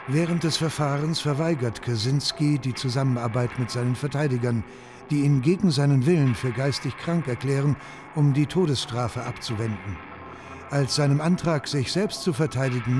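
A man reading scripted factual narration as the narrator of a documentary radio piece. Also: medium pitch. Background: music.

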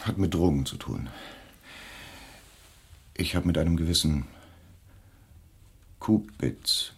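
A deep-voiced, slightly rough male schemer in a radio play. He is nervous and evasive, haltingly justifying himself as someone afraid for his life. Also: heavily acted. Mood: sheepish, confiding. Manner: hesitant, halting, self-justifying. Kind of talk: German radio drama acting.

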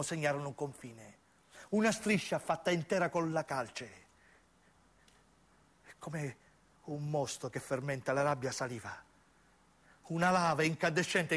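A man's voice: fairly high pitch, a bit bright. A man narrating a dramatic theatrical monologue in intense, solemn, broken phrases. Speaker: narrating stage actor.

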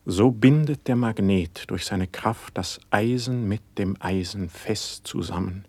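Rapt, humble young poet for verse radio drama; low-pitched, lofty, declaiming with great deliberation. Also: male voice, unhurried, a little mellow, breathy edge, dry recording. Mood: rapt, yearning. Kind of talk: classical verse radio-play acting.

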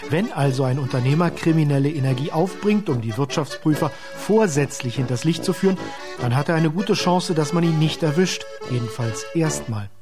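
A man's voice: on the high side, lively intonation, moderate pace, over music. A man giving explanatory commentary in a radio documentary.

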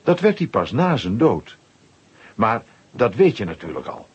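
Very husky animated male storyteller. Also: medium pitch, unhurried, muffled recording. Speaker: narrator. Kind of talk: scripted storytelling for a children's audio drama.

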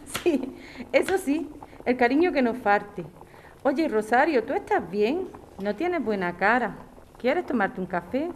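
A woman's voice: on the high side, very animated, measured pace, a little hiss or hum. A woman from playing a caring, practical neighbour in an audio drama, direct, informal and protective. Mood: friendly.

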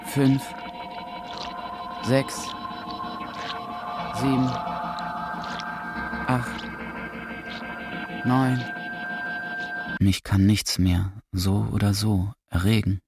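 Deep-voiced male radio drama narrator. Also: fairly even intonation, very slow, long pauses, over loud music.

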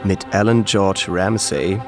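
A man's voice: sits low, slow pace, over music. A man reading scripted literary narration as the narrator of a radio play.

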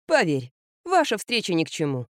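A stubborn young rocker woman answers curtly in a low, muted voice, as a woman puts her on. Her tone stays cold and guarded. Close, dry recording. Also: moderate pace, dry recording. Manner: flat, curt, lowered voice.

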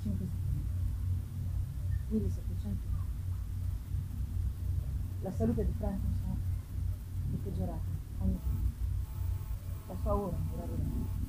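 A woman reading a gothic novel aloud as solemn, theatrical narration, with deliberate pauses, at a live performance. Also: mid-range voice, steady tone. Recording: clearly noisy live reading with ambience.

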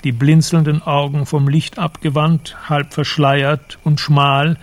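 A man giving measured, precise literary narration for a radio drama, with a creaky voice. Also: on the high side, level tone, no room sound.

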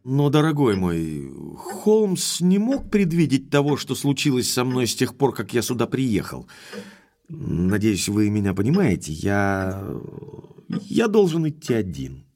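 Headstrong aristocratic baronet, mid-pitched, swooping intonation, decisive, emphatic, detective radio play. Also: male voice, big pitch swings, measured pace, a little mellow. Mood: insistent. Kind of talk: audio drama acting.

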